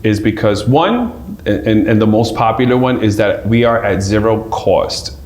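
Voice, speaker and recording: A man's voice: lowish voice, expressive, moderate pace, darkish tone. A man from New York, a guest on a radio show, earnestly explaining small business services and often tagging his points with "right?". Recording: echoey room with faint ambience.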